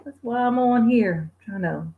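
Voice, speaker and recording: A woman in her forties hosting a livestream, chatting casually with viewers, laid-back and quick to laugh. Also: a little high, narrow, tinny audio. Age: 40s. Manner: laid-back, laughs often, sings bits. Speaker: livestream host. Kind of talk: casual chat reading viewer comments.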